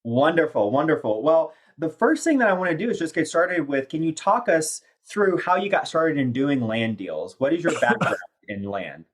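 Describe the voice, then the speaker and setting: A man's voice: on the high side, expressive, normal speed, few pauses. A man hosting a podcast who reads a guest introduction, then chats in an upbeat, effusive way. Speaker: podcast host. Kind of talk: scripted guest introduction, then casual chat.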